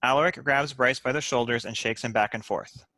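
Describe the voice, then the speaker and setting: A man's voice: medium pitch, brisk, bright, forceful tone, no room sound. A man reading stage directions as scripted narration for a table read.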